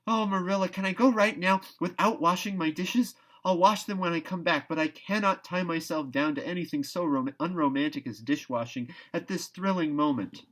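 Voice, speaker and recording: A man's voice: on the high side, moderate pace, hardly any pauses, fairly bright tone, voice pushed up. A man voicing an exuberant, dreamy young girl who chatters effusively and grandly, in a children's novel read aloud. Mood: breathless excitement.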